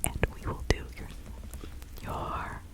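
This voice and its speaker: A woman voicing a kindly village witch healer in an ASMR roleplay, giving gentle counsel in a breathy whisper. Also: close to an everyday voice. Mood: caring, instructive. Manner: gentle, unhurried, instructing.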